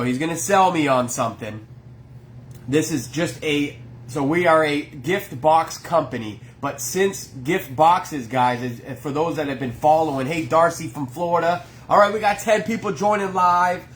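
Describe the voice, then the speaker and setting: Brief: man, stuffy nose, easygoing business owner chatting on a live stream, voice sits a little high, faint hiss behind. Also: a bit bright. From Maine. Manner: chatty, greets viewers by name. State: congested. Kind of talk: casual live-stream chat. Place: workplace, indoors.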